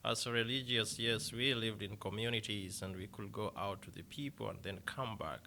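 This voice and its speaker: A young man from Kenya with a Kenyan accent, a deacon answering interview questions in a halting way with many fillers and restarts. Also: fairly low pitch, steady tone, pushed and bright.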